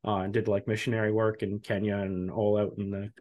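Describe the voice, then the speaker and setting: A man's voice: fairly low pitch, level tone, brisk, darkish tone. Chatty podcast host, a man. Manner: friendly, rambling, with fillers and laughs. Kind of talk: casual interview hosting.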